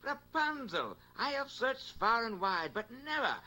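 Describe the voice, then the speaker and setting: A man's voice: very high voice, big pitch swings, unhurried, heavy background noise, exaggerated voice. A man voicing an earnest, dim-witted, romantic young prince in a cartoon fairy tale. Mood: lovestruck. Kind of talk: classic cartoon character acting.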